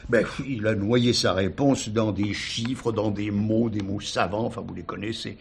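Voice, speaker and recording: A man's voice: on the low side, fairly even intonation, unhurried, vocal fry, a little hiss or hum. An actor playing an older retired judge, formal and reflective, hesitating as he recounts his doubts in a radio play. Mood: troubled, insistent. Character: thoughtful retired judge uncle haunted by doubt.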